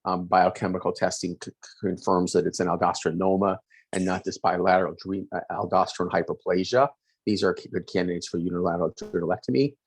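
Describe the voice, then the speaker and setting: A middle-aged man hosting a webinar, talking quickly with many fillers and self-corrections. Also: low voice, steady tone, husky, no echo. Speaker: host, endocrinologist. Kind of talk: webinar presentation. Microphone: video call.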